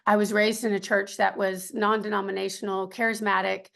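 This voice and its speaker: A middle-aged woman with a raspy voice telling her personal story as an interview guest, earnest and faith-filled, with many ums and some throat clearing. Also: mid-range voice, little pitch movement, a little fast, fairly bright tone. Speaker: podcast guest.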